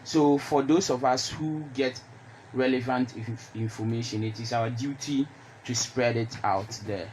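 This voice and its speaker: A young man with a Ghanaian accent speaking haltingly as a panelist in a radio discussion. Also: medium pitch, steady tone, a little mellow, a little hiss or hum, low-quality recording. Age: young adult. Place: radio studio.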